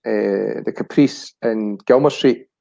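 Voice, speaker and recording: A man's voice: on the low side, slow pace, vocal fry, dark tone. A Scottish man of about sixty reminiscing casually as a chat show guest, rambling and searching for words. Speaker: chat show guest, family business director.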